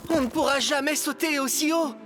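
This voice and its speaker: Woman as a high-pitched loyal cartoon wolf cub. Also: a little fast, loud music behind the voice, natural voice. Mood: worried. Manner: youthful, emphatic. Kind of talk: animated cartoon dub acting.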